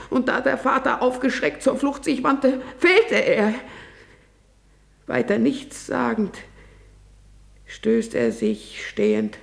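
Slow, creaky-voiced grieving maidservant messenger. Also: female voice, on the high side, heavily acted. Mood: urgent, anguished. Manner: solemn, halting delivery swinging into anguished cries. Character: distraught maidservant bringing news of royal deaths. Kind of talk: tragic verse drama for radio.

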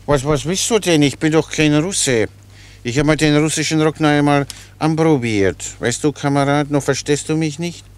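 An actor playing a naive, talkative, good-natured Czech soldier in a radio play, rambling on in a folksy, guileless way. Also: male voice, on the high side, moderate pace, smooth, close to an everyday voice. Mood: chatty, protesting. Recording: noisy radio play recording.

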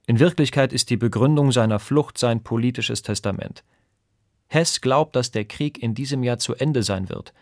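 A man's voice: medium pitch, normal speed, a little mellow, no echo. Formal man reading news commentary, dry sound. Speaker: reader of historical radio texts.

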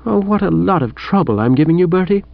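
A man's voice: high-pitched, lively intonation, fast, creaky, no echo, phone-quality sound, voice pushed up. A man voicing an anxious, apologetic young woman in a comic audiobook, in a questioning manner. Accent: British.

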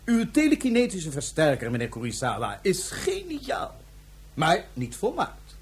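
A man's voice: fairly high pitch, huge pitch swings, measured pace, breathy edge, heavily acted. An actor playing a suave, mocking imperial ruler in a Dutch science fiction radio drama, theatrical and condescending in his ornate phrasing. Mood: taunting.

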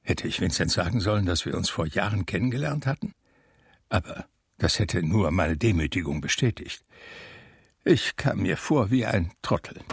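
A man acting the narrator of a radio drama, a reflective blind man telling his memories in a measured, wry, gravelly voice. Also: low voice, dry recording, clean studio sound, full character voice.

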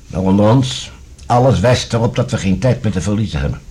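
An actor playing a grave, worried official of a troubled future in a Dutch radio drama, with a very husky voice and deliberate delivery. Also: male voice, fairly low pitch, lively intonation, dark tone. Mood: guarded, urgent.

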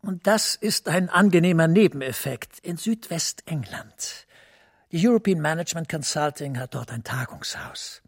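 Persuasive senior bureaucrat, male, husky and swinging in pitch, formal radio-play delivery. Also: animated, moderate pace, no echo, clean studio sound. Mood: officious, persuasive. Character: smooth, officious male EU administration superior.

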